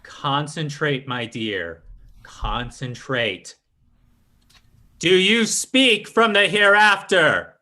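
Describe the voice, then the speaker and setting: A man playing a solemn, theatrical spirit medium in old-time radio style, speaking in deliberate incantations. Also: a little high, bright, forceful tone, heavily acted. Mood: solemn, mystical. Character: solemn, mystical male séance medium, theatrical.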